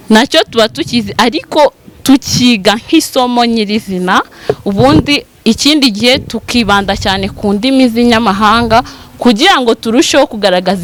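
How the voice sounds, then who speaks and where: Woman explaining her school, steady. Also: a little high. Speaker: school administrator. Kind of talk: interview statement.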